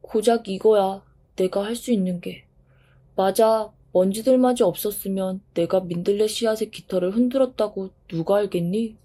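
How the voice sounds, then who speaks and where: A woman's voice: medium pitch, normal speed, warm tone, natural voice. A woman voicing a small, sensitive wind in a storybook reading, earnest and emotional. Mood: sulky. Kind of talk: storybook voice acting.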